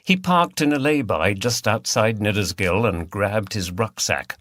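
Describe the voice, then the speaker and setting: A man's voice: on the low side, measured pace, fairly bright tone, no room sound, clean studio sound. A man reading a mystery novel as scripted audiobook narration.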